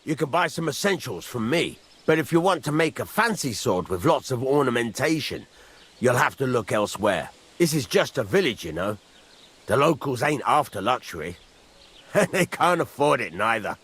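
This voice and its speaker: Husky, blunt village blacksmith. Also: male voice, medium pitch, big pitch swings, normal speed, fairly bright tone, exaggerated voice. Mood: matter-of-fact. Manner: blunt, rustic, instructive. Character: gruff, plain-spoken middle-aged village blacksmith, demanding but fair. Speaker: master blacksmith. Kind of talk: video game character acting.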